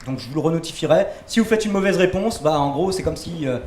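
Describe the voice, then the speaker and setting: A man's voice: on the high side, lively intonation, fast talker, darkish tone. A man hosting a rock music quiz, chatting casually and teasing his guests, with lots of fillers. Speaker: quiz host. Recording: roomy echo with faint noise.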